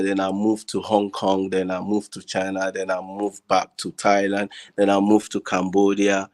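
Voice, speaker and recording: A man with a Nigerian accent talking casually as a panel guest, haltingly repeating words as he searches for his phrasing. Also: lowish voice, a little mellow. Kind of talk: casual discussion. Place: online group video call.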